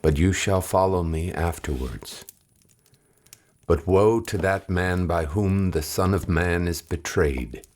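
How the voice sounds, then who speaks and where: An actor playing Jesus as a calm, solemn teacher who speaks gravely, at times in a whisper. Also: male voice, sits low, slow pace. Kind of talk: audio drama acting. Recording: music score behind.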